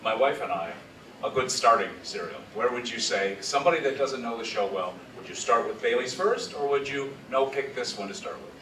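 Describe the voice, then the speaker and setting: Bright-voiced man speaking from across an echoey room. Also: medium pitch, a little fast. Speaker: audience member asking a question. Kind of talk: casual question and chat. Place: indoor event room, recorded from a distance. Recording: echoey room, distant, faint background noise.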